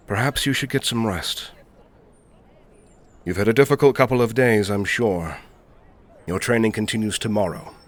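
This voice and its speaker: A man voicing a fatherly druid mentor in a dramatic audio roleplay, speaking in a measured, gently solemn way. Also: lowish voice, close to an everyday voice. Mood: concerned, gentle. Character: fatherly druid master, calm mentor to a young apprentice.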